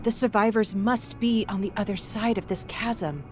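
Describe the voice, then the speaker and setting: A woman's voice: mid-range voice, steady tone, normal speed, over music, close to an everyday voice. An actress playing an earnest, determined female adventurer who guides her allies in a video game. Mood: analytical. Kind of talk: video game cutscene acting.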